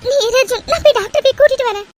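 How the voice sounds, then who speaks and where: A woman's voice: extremely high pitch, very fast. A woman playing a distraught, devoted young woman in an audio drama, crying and pleading over her injured beloved. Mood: desperate, tearful devotion. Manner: tearful, pleading, repetitive begging. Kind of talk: melodramatic Tamil audio drama acting. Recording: noisy recording with clicks.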